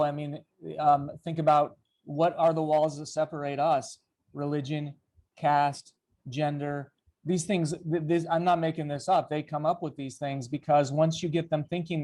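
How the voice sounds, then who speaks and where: A man's voice: a little high, dark tone. A man facilitating a training discussion on an online video call, prompting participants to share. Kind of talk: leading a group discussion.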